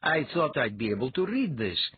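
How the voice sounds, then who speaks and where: A husky-voiced audiobook reader voicing a nervous young woman who speaks slowly and anxiously. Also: medium pitch, very animated, phone-quality sound, pitched above the performer's own voice. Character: pale blonde girl, nervous and fervent.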